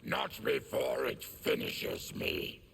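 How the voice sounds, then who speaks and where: A man voicing a grim, diseased monster warrior with a gravelly growl in a video game. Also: mid-range voice, huge pitch swings, slow pace, a bit bright, a little hiss or hum, heavily acted. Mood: grave, grateful. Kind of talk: video game character dialogue.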